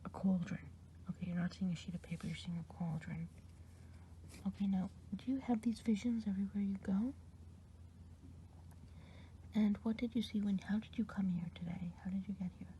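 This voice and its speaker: A woman's voice: medium pitch, moderate pace, long pauses, slight background noise, close to an everyday voice. A woman whispering as a kindly witch healer. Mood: soft whispering. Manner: soft whisper, patient questioning. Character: kindly woman witch healing a hexed patient. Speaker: ASMR performer. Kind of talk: ASMR roleplay.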